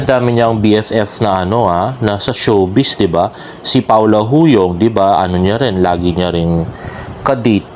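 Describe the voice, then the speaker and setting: A Filipino-accented man with a mid-pitched voice chats as a fan vlogger. He comments casually on social media posts in a gushing way, checking in with little tag questions. Faint ambient noise sits behind his voice. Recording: clearly noisy background with ambient sound.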